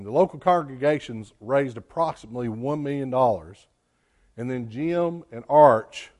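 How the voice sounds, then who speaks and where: A man, a care home's executive director, giving a plainspoken presentation to a church with many fillers and a loud voice. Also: mid-range voice. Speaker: executive director of a care home, guest speaker.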